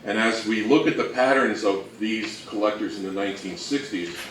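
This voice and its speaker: A man's voice: lowish voice, fairly even intonation, moderate pace, fairly bright tone. A man giving an informal, conversational presentation, explaining things and posing rhetorical questions as a co-presenter. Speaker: co-presenter and old-time radio researcher. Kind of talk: informal slide presentation talk. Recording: echoey room, distant mic, faint noise.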